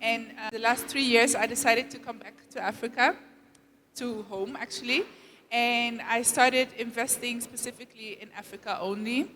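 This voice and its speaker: A woman's voice: on the high side, steady tone, moderate pace, a bit bright. A woman from Ethiopia introducing herself on a conference panel, upbeat and informal. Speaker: investor, panelist. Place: conference stage. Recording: echoey hall.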